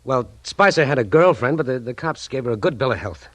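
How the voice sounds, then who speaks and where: Actor as a husky, curt 1940s detective. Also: male voice, medium pitch, lively intonation, fairly quick, no room sound, natural voice. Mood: businesslike, insistent. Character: brisk, businesslike male private detective, happily married. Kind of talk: 1940s radio acting.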